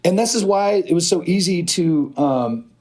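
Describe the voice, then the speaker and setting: A man's voice: high-pitched, moderate pace, crowd noise. A man in his fifties from Oregon, a podcast guest telling stories in a loose, rambling way with many restarts. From Oregon, USA. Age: 50s. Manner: rambling, animated storytelling with restarts. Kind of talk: casual chat.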